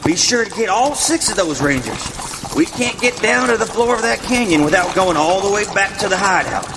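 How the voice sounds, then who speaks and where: A man's voice: high voice, lively intonation, normal speed, background noise. An actor playing a ruthless outlaw gang boss in a western radio drama, giving folksy orders to his men. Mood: commanding, menacing.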